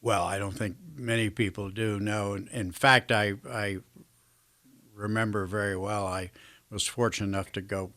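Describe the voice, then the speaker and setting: A man's voice: lowish voice, fairly even intonation, normal speed, pushed and bright. An older American man chatting as an interview guest, reflective and self-deprecating, feeling his way through his sentences. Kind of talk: casual anecdotal interview chat.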